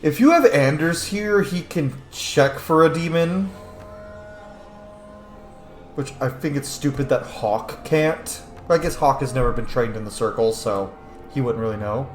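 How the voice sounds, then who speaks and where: A man's voice: medium pitch, a little slow, faint music behind. A man giving casual, blunt and profane commentary as he plays a video game. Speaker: gamer hosting a playthrough. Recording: roomy echo, faint noise.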